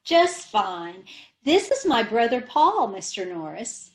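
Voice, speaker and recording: A woman's voice: fairly high pitch, very animated, slow pace, full character voice. A woman playing a dizzy chattering wife. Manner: dizzy, malapropping. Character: scatterbrained wife, cheerful and muddled. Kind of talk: old-time radio comedy acting.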